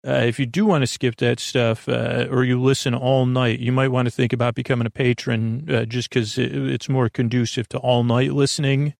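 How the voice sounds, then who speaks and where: A man with a creaky voice hosting a bedtime podcast in a gentle, meandering conversational way, reassuring and often interrupting himself with tangents. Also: mid-range voice, little pitch movement, normal speed, few pauses, no room sound, studio quality. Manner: meandering, hesitant, full of tangents and self-interruptions. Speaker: podcast host.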